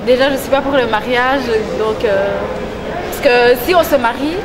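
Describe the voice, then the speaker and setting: A woman answering a street interview at a train station, hesitant and thoughtful, pausing often. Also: fairly high pitch. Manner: hesitant, thoughtful, with many pauses. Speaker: interviewee, passer-by. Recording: crowded noisy station recording.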